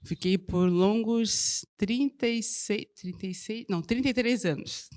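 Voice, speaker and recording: A woman in her forties, raised in Portugal, talks as a guest in a studio interview. She speaks in a chatty, easygoing way and keeps checking in with her listener through little tag questions. Her pitch sits in the middle range. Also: measured pace, a little mellow. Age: 40s. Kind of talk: casual interview talk.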